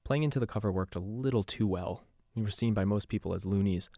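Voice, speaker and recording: Man playing a weary sardonic radio DJ, low voice, dry close recording, confessional podcast drama narration. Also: fast talker, dark tone, dry recording. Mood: sober, guarded. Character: male radio DJ turned secretive investigator, embittered and haunted. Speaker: radio DJ narrating a taped case file.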